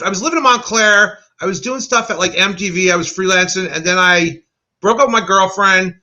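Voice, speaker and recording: A man's voice: high-pitched, dull audio. An American man chatting casually as a guest, telling anecdotes and acting out the quotes. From United States. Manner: animated storyteller who acts out quotes. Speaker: guest, animator.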